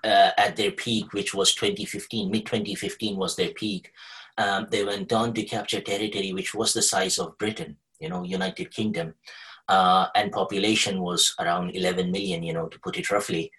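A man's voice: deep voice, steady tone, moderate pace, fairly bright tone, rough recording. A man from Kuwait speaking as a podcast guest, explaining in a discursive, conversational way. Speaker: podcast guest, researcher with a journalism degree.

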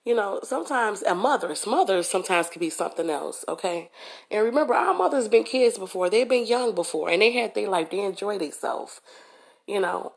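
Hoarse, gravelly-voiced woman podcaster. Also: mid-range voice. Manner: conversational, often checks in with "okay?" and "you know". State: hoarse from a cold. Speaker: podcast host. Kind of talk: motivational monologue.

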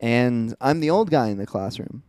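Earnest young man, dark smooth voice. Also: medium pitch, expressive, moderate pace, dry recording, studio quality. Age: 20s. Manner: earnest, reflective storytelling. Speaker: podcast co-host. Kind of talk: casual chat.

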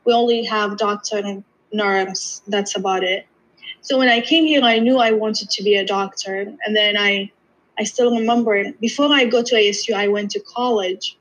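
A woman's voice: medium pitch, steady tone, normal speed, fairly bright tone, rough recording. A young woman in her twenties, from Iraq and Jordan, a podiatry student chatting as an interview guest, often restarting and quoting herself. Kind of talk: casual interview chat.

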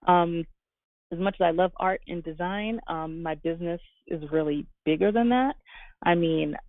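Woman from guyana answering interview questions, gravelly fry, earnest, dry phone-like sound. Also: lowish voice, moderate pace, no room sound. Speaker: interview guest, fashion designer and business owner.

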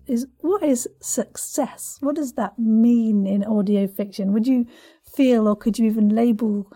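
Woman, podcast host, slightly high pitch, dark mellow tone, casual interviewing, effusive, playful.

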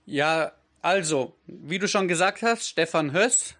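High-voiced German man in his thirties. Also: lively intonation, unhurried, pushed and bright. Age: 30s. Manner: rambling, self-correcting, frequent fillers. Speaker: guest. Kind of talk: conversational interview.

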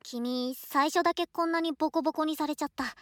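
A woman playing a shy, affectionate young student, a boy turned into a girl, talking softly to a close friend in a voice drama. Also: high voice, brisk, no echo. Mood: grateful, shaken. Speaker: voice actor in a situation voice drama. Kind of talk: Japanese situation voice drama acting, intimate one-sided dialogue.

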